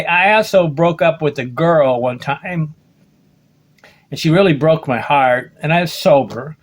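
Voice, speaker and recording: A man in his late sixties, from the United States, talks in a loose, rambling monologue. His voice sits a little higher than most men's, and he keeps circling back to reword his thoughts, dropping in "okay?" as he goes. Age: 60s. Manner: rambling, restarts sentences, keeps asking "okay?". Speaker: video blogger.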